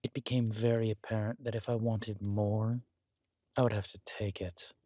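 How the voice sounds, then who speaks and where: A man playing a scheming, aristocratic villain husband in an intimate roleplay audio drama, suave and deliberate, slipping into breathy near-whispers. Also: on the low side, little pitch movement, dark tone, no echo, natural voice. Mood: smug, musing. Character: smooth, scheming villain husband, usurper of a kingdom.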